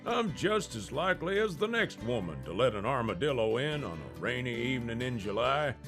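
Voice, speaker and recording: A folksy old cowhand pitches his musings in a slow, rambling drawl, as a man plays him for a radio play. His voice is gravelly and swings widely in pitch. Soft guitar plays behind him. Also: sing-song. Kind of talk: comedic radio ad parody. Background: guitar music.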